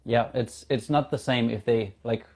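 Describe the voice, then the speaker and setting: Casual German-born man, medium-pitched voice. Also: steady tone, moderate pace, a little mellow. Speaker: interview guest, community founder. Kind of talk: casual video-call conversation.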